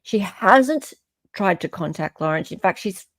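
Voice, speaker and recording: A woman's voice: mid-range voice, animated, measured pace, no room sound, studio quality. An Australian woman hosting a live stream in casual, opinionated chat, often checking for agreement as she goes.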